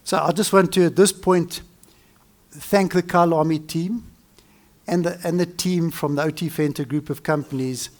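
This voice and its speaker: Gracious man, slightly high voice. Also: fairly even intonation. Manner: measured, gracious thanks. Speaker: circuit owner giving a welcome speech. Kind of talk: formal thank-you speech.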